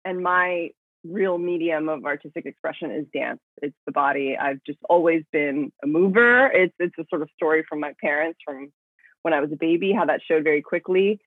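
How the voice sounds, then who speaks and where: A woman's voice: deep voice, normal speed, husky, no echo. A young woman from Miami answering interview questions conversationally as a podcast guest, recorded over a remote call. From United States, grew up in Miami. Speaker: podcast guest, professional dancer. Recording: tinny, phone-like remote call.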